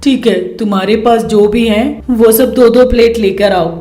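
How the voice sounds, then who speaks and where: A woman voicing a boastful, blunt old mother-in-law in a cartoon story, talking loudly and scolding. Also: on the high side, a little slow, natural voice. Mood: demanding. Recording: roomy echo, faint music behind.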